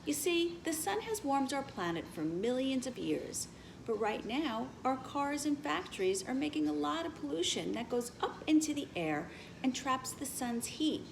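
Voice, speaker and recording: A patient female schoolteacher from a children's storybook, as a woman reads her aloud, explains things with emphasis. Her pitch is high and swings widely, over a faintly noisy recording. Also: sing-song, normal speed, exaggerated voice. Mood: explaining. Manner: clear, instructive, emphatic.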